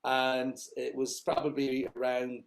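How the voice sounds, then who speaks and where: A man's voice: medium pitch, monotone, measured pace, vocal fry, a little hiss or hum. A middle-aged Irish man, a company founder, giving long, rambling answers in a casual interview, full of fillers and restarts. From Ireland.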